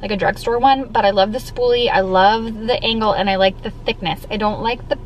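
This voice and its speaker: A woman's voice: mid-range voice, steady tone, brisk, slight background noise, rough recording. A young woman chatting in an upbeat, casual way as a vlogger, filming herself at arm's length inside a parked car. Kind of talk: casual vlog chat. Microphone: camera held at arm's length.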